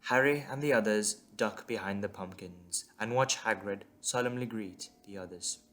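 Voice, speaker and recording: A man's voice: fairly low pitch, normal speed, fairly bright tone. A man reading scripted dramatic narration as the narrator of an audio drama. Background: music.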